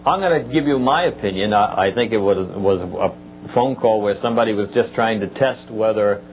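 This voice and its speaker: Male talk show host, middle-aged, halting and easygoing, phone-thin audio with faint hum. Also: on the low side. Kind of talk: live call-in talk show chat. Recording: muffled lo-fi tape with hum.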